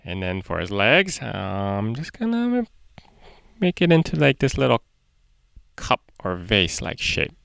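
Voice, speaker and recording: A man's voice: fairly low pitch, big pitch swings, a little slow, creaky, fairly bright tone, no room sound, studio quality. A man, perhaps in his thirties, hosting a casual step-by-step drawing lesson for children in a playful way, talking to himself as he draws. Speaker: drawing tutorial host for children.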